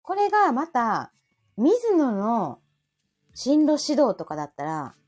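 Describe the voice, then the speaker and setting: A woman's voice: fairly high pitch, huge pitch swings, slow, a little mellow, faint music behind. A middle-aged woman with a Kansai accent chatting casually and playfully as co-host of a live stream, prone to giggling.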